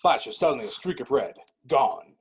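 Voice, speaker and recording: A man with a husky voice narrating a script in a clipped, descriptive reading style. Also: medium pitch, lively intonation, a little fast.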